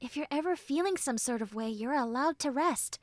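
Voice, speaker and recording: A woman playing a normally mean young tsundere who turns tender and caring, speaking haltingly and earnestly to the listener in an intimate roleplay. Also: high-pitched, lively intonation, no room sound. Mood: soft, soothing. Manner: halting, bashful, earnest, soft and breathy when consoling. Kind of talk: intimate roleplay audio drama, spoken to the listener.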